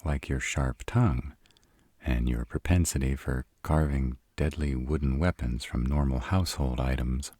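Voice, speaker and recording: Man acting a very deep-voiced sarcastic vampire. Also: moderate pace, mellow, no room sound. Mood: teasing, dry. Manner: sarcastic, dry, grudging. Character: sarcastic brooding male vampire with a reluctant soft spot. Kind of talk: roleplay audio acting.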